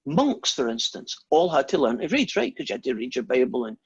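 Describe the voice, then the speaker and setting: A man's voice: medium pitch, huge pitch swings, brisk, creaky, slightly rough, no room sound, lo-fi sound. A Scottish man, a maths lecturer, wandering off topic into rambling, self-deprecating stories during an informal class. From Scotland. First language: Scots.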